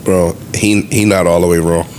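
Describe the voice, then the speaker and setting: Low-pitched American man debating, noisy room. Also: flat delivery, normal speed, a little mellow. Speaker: podcast panelist. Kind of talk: casual podcast debate.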